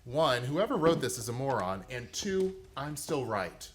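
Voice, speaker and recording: A man plays the pedantic foil in live comic banter on stage. His pitch swings in a lively way as he makes exasperated corrections. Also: unhurried, slight background noise. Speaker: actor in comic banter with the host. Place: theater stage.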